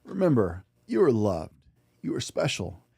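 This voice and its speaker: A man hosting and reading a children's picture book aloud in an expressive, gentle bedtime-story way. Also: medium pitch, a little slow, creaky, breathy edge, no echo, clean studio sound. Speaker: podcast host and storybook reader.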